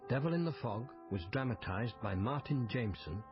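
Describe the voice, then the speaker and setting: A man's voice: mid-range voice, expressive, a little fast, muffled recording, music in the background. A man working as a radio continuity announcer, reading scripted drama credits.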